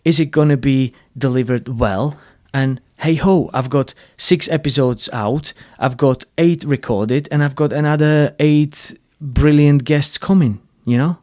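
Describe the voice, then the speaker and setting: A man's voice: medium pitch, unhurried, hardly any pauses, warm tone. Slovak-accented man hosting, blunt and earnest. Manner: blunt and earnest, frequent restarts, salty language. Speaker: podcast host. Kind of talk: casual interview conversation.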